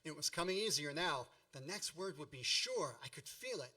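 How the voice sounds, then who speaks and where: Wry nostalgic narrator, mock-grand, forceful bright tone, slightly high, radio play. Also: male voice, lively intonation, normal speed, far from the mic, heavily acted. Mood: suspenseful. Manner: wry, melodramatic. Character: wry grown man narrating his boyhood memories with mock grandeur.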